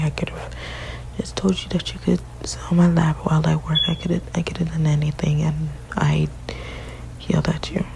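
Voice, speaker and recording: A woman whispering softly and haltingly in an ASMR partner roleplay, often restarting her sentences. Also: low-pitched, gravelly, a little hiss or hum, low-quality recording.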